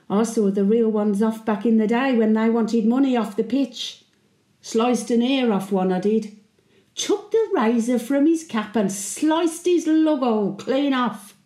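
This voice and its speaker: Woman doing a really old brummie market widow, feisty n sentimental, mellow voice, chatty wry monologue, echoey room. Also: a little high. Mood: feisty, nostalgic. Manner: chatty, wry, colloquial storytelling. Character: feisty, sentimental centenarian widow, former Birmingham market trader. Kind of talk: dramatic monologue addressed to her late husband.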